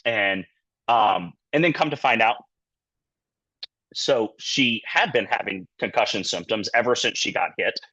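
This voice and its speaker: A man's voice: lowish voice, a little slow, pushed and bright, slightly rough, no echo, lo-fi sound. A man in middle age, a sports medicine physician, giving an informal lecture in a conversational, loosely phrased way. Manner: conversational, loosely phrased, peppered with "you know" and "kinda". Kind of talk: informal lecture with anecdotes and career advice.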